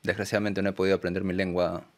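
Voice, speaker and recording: A Peruvian man, a documentary filmmaker, answering interview questions in a soft, hesitant way with many fillers and tag questions. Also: low-pitched, little pitch movement, rapid-fire. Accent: Peruvian Spanish. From Peru.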